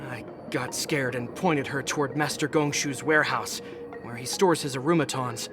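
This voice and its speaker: A man's voice: mid-range voice, steady tone, normal speed, natural voice. An actor voicing a nervous, easily scared townsman who gossips in a game cutscene. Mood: gossipy. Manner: chatty, gossiping. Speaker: game character. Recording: game audio over loud music.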